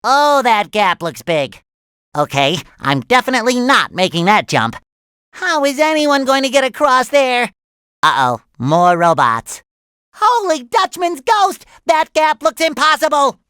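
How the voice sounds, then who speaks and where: A man voicing an excitable, naive, cheerful young cartoon sea sponge in a video game, with a squeaky nasal voice and eager, childlike earnestness. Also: a little slow, clean tone, no echo, studio quality, heavily acted. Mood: alarmed. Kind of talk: animated video game voice lines.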